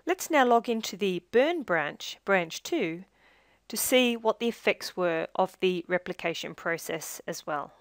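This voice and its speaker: A woman reading a scripted software tutorial as demo narrator, in a measured, instructional manner with phrase endings that trail down. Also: medium pitch, lively intonation, no echo, studio quality.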